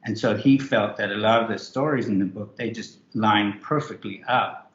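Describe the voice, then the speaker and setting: A man's voice: fairly low pitch, normal speed. A man, co-author of a book, talking loosely with many restarts in a virtual author interview. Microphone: video call. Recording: roomy, muffled video call.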